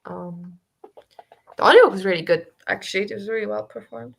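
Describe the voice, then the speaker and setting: A woman's voice: mid-range voice, fairly quick, gravelly. A woman co-hosting a book club discussion over a video call, talking casually and thinking aloud with lots of fillers.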